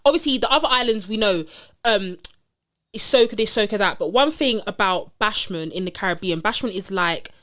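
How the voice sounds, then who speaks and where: Woman, podcast co-host, animated and quick to laugh. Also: mid-range voice. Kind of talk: casual chat.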